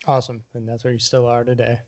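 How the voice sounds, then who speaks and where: A man's voice: mid-range voice, monotone, quick pace. A man hosting a podcast, introducing and interviewing his guest. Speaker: podcast host.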